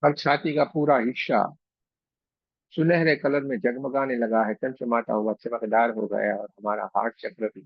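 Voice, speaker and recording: A man leading a guided meditation as a reiki guide, speaking slowly and soothingly with pauses and repeated phrases. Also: mid-range voice, creaky, no echo, dull audio. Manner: slow, soothing, repetitive instruction with frequent pauses.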